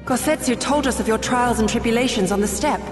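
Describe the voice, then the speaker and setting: An actress playing a loyal, deferential shinobi retainer in a fantasy game, grave and formal. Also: female voice, fairly high pitch, a little fast, over music, natural voice. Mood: respectful.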